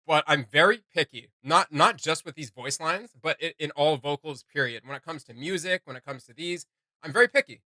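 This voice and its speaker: A man hosting a reaction show, giving informal, opinionated critique in casual chat, halting as he searches for words. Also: a little high, bright, forceful tone, dry recording.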